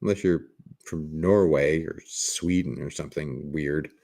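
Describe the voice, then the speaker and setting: A man with a raspy smoker's voice hosting a casual livestream, joking with viewers and rambling through restarts with bursts of laughter. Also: extremely low pitch, unhurried, darkish tone. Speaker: livestream host.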